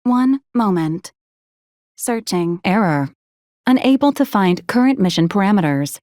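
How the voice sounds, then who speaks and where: A woman voicing a polite, officious robot support unit in a video game, speaking in formal, clipped, deadpan announcements. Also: mid-range voice, unhurried, dry recording, studio quality, close to an everyday voice. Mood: polite, detached. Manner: formal, clipped, programmed announcements in complete phrases.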